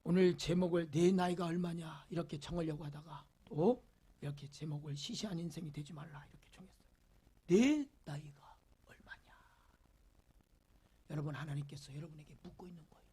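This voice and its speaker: A male pastor preaching a Sunday sermon, leading the congregation in reading and repeating rhetorical questions, swinging from loud emphasis to near whispers. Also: on the high side, fairly quick, husky. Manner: leads the congregation in call-and-response reading.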